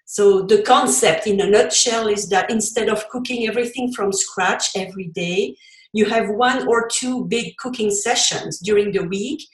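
A French-accented woman from Belgium talks through her ideas as an interview guest, stopping and restarting with fillers. Her voice sits at a middle pitch and carries a noticeable room echo. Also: normal speed. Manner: explanatory, frequent fillers and restarts. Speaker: podcast guest, cookbook author. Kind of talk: conversational interview.

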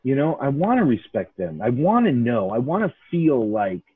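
A man's voice: medium pitch, big pitch swings, normal speed, husky, dry recording, narrow, tinny audio. A man from Chicago's north side hosting a casual podcast chat, emphatic and rambling, full of restarts and "like". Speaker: podcast host.

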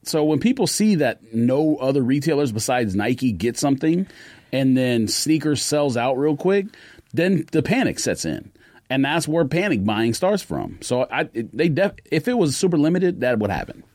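A man's voice: mid-range voice, sing-song, normal speed, a little mellow, dry recording. An American man co-hosting a podcast, explaining his opinions in casual conversation. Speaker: podcast co-host. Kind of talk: casual sneaker podcast chat.

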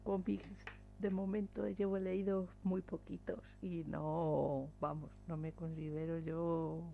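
A woman's voice: fairly low pitch, flat delivery, takes it slowly, vocal fry, slight background noise. A woman chatting casually and a little hesitantly as a podcast co-host. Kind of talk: casual book chat. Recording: thin phone-like audio.

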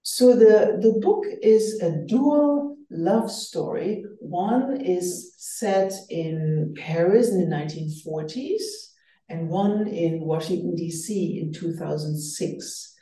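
A woman's voice: fairly low pitch, expressive, slow pace, mellow, reverberant, far from the mic, rough recording. A woman whose first language is German, speaking as an author in an earnest, reflective interview and often restarting her phrases. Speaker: author guest. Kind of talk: radio interview.

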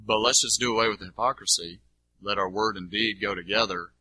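A lowish, husky male voice with a very bright, pressing edge. He teaches informally in answer to questions, emphatic and colloquial, in a dry recording. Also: a little fast, no room sound, rough recording. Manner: colloquial, emphatic, checks for understanding.

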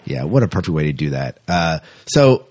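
A man's voice: rumbling low, very animated, normal speed, a little mellow. A man co-hosting a podcast, talking in an informal, rambling, conversational way. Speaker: podcast co-host. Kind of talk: casual podcast chat.

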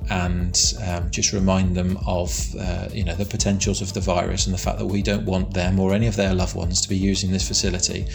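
Man, very deep gravelly voice, flat delivery, big voice, plain-spoken, faint music behind. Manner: rambling, repeats words and restarts, plain-spoken. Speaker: construction site manager. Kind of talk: casual interview answers.

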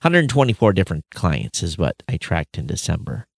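A man's voice: low-pitched, very animated, moderate pace, gravelly, dry recording, clean studio sound. A man co-hosting a podcast, chatting casually and reading out figures off the cuff. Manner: chuckles often, reads out numbers off the cuff. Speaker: podcast co-host. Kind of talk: casual chat about industry figures.

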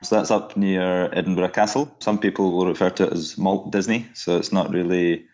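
A man guest explaining how something is made in a measured, informative way, with frequent ums and uhs. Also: deep voice, fairly even intonation, a little mellow. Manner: informative, measured, with many fillers. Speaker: guest, whisky guide. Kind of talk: casual explanatory chat. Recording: dull lo-fi audio.

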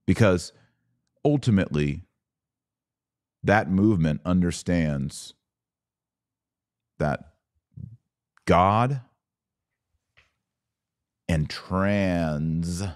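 A man delivering an opinionated podcast monologue as host, speaking emphatically with rhetorical questions and dramatic pauses. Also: low-pitched, a little mellow, no room sound, clean studio sound.

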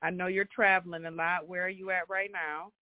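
A man's voice: sits high, level tone, a little fast, dry recording. A man hosting a casual interview show, friendly and affirming, often repeating his guest's words back. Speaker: show host. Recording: tinny phone-like line, dry.

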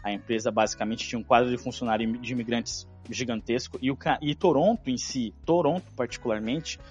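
A Brazilian man in his thirties, a guest in an interview, telling his story in a relaxed way with slang and fillers. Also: mid-range voice, quick pace, dull audio, faint music behind. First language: Portuguese. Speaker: podcast guest, engineering executive. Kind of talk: casual interview chat.

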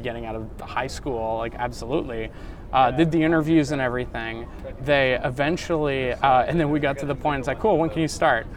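A man in his thirties who grew up in Canada tells his life story in a loose, chatty way. He fills his sentences with "like" and "um". Faint traffic hums in the background. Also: mid-range voice. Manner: casual, many fillers and likes. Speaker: founder and CEO guest. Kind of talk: casual storytelling interview. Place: conference venue.

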